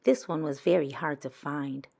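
A woman's voice: deep voice, moderate pace, creaky, warm tone. A woman voicing a sly, pushy young girl badger from a children's storybook, coaxing and wheedling. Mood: insistent.

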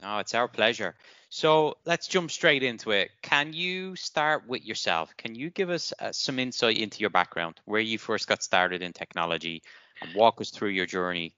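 A man's voice: lowish voice, expressive, normal speed, bright, forceful tone, clear, resonant tone, dry recording, muffled recording. A man hosting a podcast, introducing and interviewing his guest. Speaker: podcast host.

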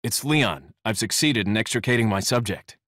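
An actor playing a calm, determined young government agent in a video game, speaking in a cool, terse way. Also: male voice, fairly low pitch, normal speed, dry recording, studio quality. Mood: businesslike. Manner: cool, terse, matter-of-fact. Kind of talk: video game cutscene acting.

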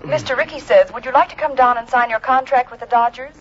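Husky, highish secretary. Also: female voice, fast talker. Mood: businesslike. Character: businesslike secretary making a telephone call. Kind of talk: 1940s radio acting. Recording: muffled 1940s radio recording.

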